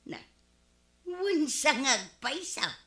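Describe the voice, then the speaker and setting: A woman's voice: high voice, big pitch swings, slow pace, a bit bright, full character voice. An actress playing an envious, quarrelsome village woman in a Filipino comedy radio drama, with an Ilocano accent and an emphatic delivery. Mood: scheming. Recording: roomy, echoing studio sound.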